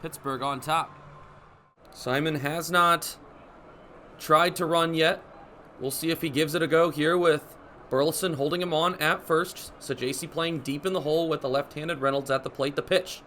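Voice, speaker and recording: A young American man calling baseball play-by-play for radio, with energetic, vivid running commentary. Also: fairly high pitch, moderate pace. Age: young adult. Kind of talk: live radio sports commentary. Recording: live broadcast with ballpark background noise. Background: stadium ambience.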